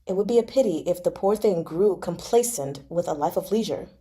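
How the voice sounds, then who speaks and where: A woman's voice: on the low side, expressive, a little fast, mellow, natural voice. A woman reading the part of a reserved, dutiful young male imperial official from a novel. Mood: wistful. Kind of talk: expressive audiobook-style novel reading.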